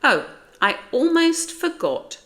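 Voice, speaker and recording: Storybook mum cat read by a woman, British, brisk, chivvying, reassuring, unhurried delivery. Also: high voice, very animated, fairly bright tone, voice pushed up, full character voice. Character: brisk, cheerful mother cat, kindly but firm.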